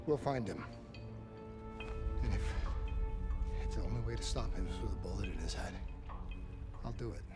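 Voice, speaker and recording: An actor playing a determined, loyal soldier in a dramatic military video game, intense under pressure. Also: male voice, on the low side, normal speed, long pauses, over loud music, close to an everyday voice. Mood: grim resolve.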